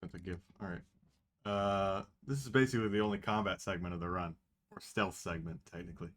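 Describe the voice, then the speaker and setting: A man's voice: low voice, brisk. A man making dry, sarcastic remarks about a story during a reading.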